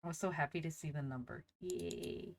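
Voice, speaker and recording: A woman's voice: low-pitched, normal speed, gravelly, dry recording. A woman hosting a casual livestream, chatting to viewers in a bubbly, enthusiastic manner. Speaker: livestream host, VTuber; teacher.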